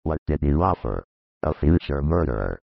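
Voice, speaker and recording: A man's voice: very animated, moderate pace, no echo, muffled recording, exaggerated voice. A man voicing a grieving, remorseful male disciple who speaks in a heavy, brooding confession, read as an audiobook. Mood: mournful. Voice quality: very deep gravelly husky voice. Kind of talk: audiobook reading of a religious narrative.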